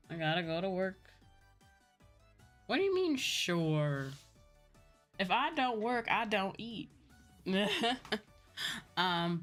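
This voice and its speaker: A woman's voice: fairly low pitch, a bit bright. A woman livestreamer chatting casually to her viewers in a playful, self-deprecating manner. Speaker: livestreamer, VTuber.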